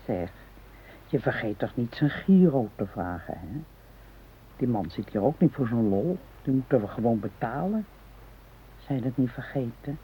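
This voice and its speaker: Husky, fretful nagging wife. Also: female voice, low-pitched, heavily acted. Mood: anxious, nagging. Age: middle-aged. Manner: earnest, reproachful. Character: fretful, nagging middle-aged wife of grumbling husband. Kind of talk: naturalistic radio drama dialogue. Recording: tinny, phone-like radio recording.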